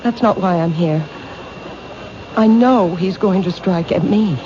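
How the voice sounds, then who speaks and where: A woman's voice: on the low side, unhurried, close to an everyday voice. An actress playing a timid, fearful young woman who owns a bookshop, speaking hesitantly and stammering in a 1970s radio drama. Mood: anxious. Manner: hesitant, soft-spoken, stammering. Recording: dull old radio broadcast, noisy.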